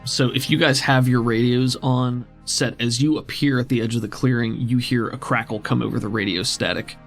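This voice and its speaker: A man's voice: medium pitch, fairly even intonation, moderate pace, gravelly. A man acting as game master, describing scenes in a conversational storytelling way for a tabletop roleplaying game. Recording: music behind the voice. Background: background music.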